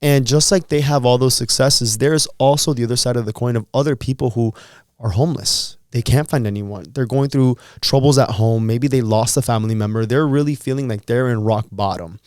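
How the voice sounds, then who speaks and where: Earnest man in his thirties, smooth voice. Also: mid-range voice, a little mellow, no room sound, studio quality. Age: 30s. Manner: earnest, encouraging, repeats words when thinking. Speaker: podcast co-host. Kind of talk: casual motivational chat.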